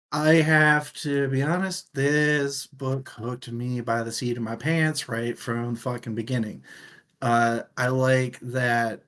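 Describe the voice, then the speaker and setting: Male co-host, average pitch, casual book chat, loose and irreverent tone. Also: fairly bright tone. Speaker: podcast co-host.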